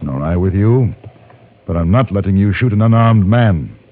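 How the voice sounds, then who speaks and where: A courteous gentleman of the frontier, acted by a man speaks in a low, measured, formal voice. The vintage radio western sounds narrow and plainly hissy. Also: expressive, natural voice. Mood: calm, firm. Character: courteous, steadfast gentleman traveler and narrator of Old West. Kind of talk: old-time radio western acting and narration.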